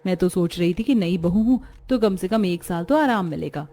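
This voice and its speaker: A voice actress playing a young new bride who grumbles and whines about housework in an animated story. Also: female voice, on the low side, moderate pace. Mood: grumbling. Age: young adult. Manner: whiny, grumbling. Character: young lazy new bride, whiny and complaining.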